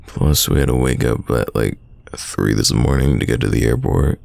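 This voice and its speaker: A man acting a teasing, stubborn young friend in an intimate roleplay audio drama, with a very deep, gravelly voice and a casual delivery full of drawn-out sighs. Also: a little mellow, dry recording, exaggerated voice. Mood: tired, casual.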